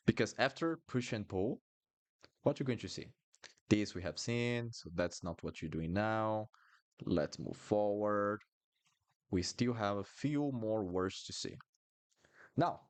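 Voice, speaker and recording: A man from Brazil with a Brazilian Portuguese accent, teaching a live online Portuguese lesson in a chatty, apologetic way and repeating words for learners. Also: fairly low pitch. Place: Brasilia.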